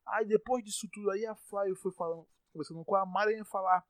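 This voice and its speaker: Brazilian man, podcast host, fairly high voice, dry close sound, exasperated rant, bursts out loud, repeats himself. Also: fairly even intonation, fast, no room sound. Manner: exasperated ranting with sudden loud outbursts; repeats words and stumbles when worked up.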